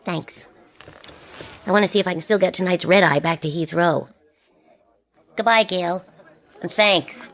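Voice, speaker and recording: A woman's voice: lowish voice, measured pace, pauses often, fairly bright tone. A woman playing a wistful, regretful television presenter, confiding and wry, in a comic science fiction radio drama. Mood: rueful.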